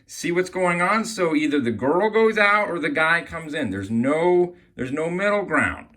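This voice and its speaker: A man's voice: a little high, animated, a little slow, a bit bright, poor audio. A man giving an informal explanatory lesson as an amateur Bible teacher, often checking in with the listener. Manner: repeatedly checks in with "okay?".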